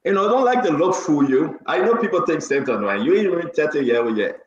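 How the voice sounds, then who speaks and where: A man's voice: a little high, expressive, quick pace. A Liberian man in his forties hosting a casual talk show, speaking animatedly and repeating phrases for emphasis. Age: 40s. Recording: echoey room, slightly dull sound.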